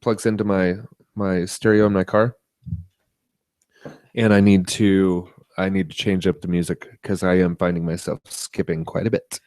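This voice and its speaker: A man co-hosting a podcast, chatting casually about tech and media in a halting, rambling way. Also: low voice, level tone, a little mellow, clean tone, no echo. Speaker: podcast co-host.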